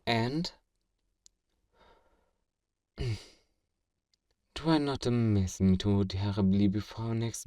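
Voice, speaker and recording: A man's voice: fairly low pitch, darkish tone, no room sound, studio quality, far from the mic. A man playing a powerful, smug fantasy villain in a flirtatious ASMR roleplay, speaking in a theatrical, formal and teasing way. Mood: tender, smug. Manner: theatrical, deliberate, formal, teasing, with breathy whispers.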